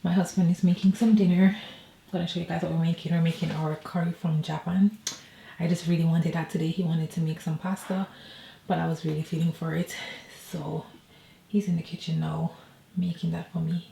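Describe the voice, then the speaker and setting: A woman's voice: lowish voice, steady tone, moderate pace, vocal fry, mellow, roomy sound, a little hiss or hum. A woman vlogger chatting casually and rambling to her viewers from home. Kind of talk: casual personal vlog chat.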